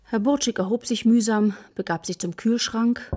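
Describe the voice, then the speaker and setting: A woman's voice: on the high side, normal speed, warm tone. A woman narrator reading scripted storytelling passages for a children's radio play.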